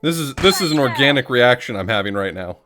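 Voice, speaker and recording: Dry-witted male streamer, lively pitch. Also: fast, over music. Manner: dry, sarcastic reactions. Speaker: streamer host. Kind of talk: casual stream chat over gameplay.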